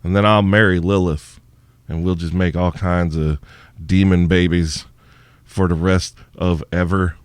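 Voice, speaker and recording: Low-voiced, sleepy, profane male podcaster. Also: little pitch movement, a little slow, clear, resonant tone, no echo. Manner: profane, irreverent, rambling. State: sleepy, tired. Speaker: podcast host. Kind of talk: crude solo Q&A podcast.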